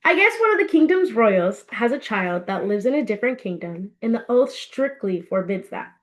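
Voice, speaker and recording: A woman's voice: on the high side, big pitch swings, normal speed. A woman voicing an earnest, teasing young male best friend in a storybook, chatty and insistent. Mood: gossipy.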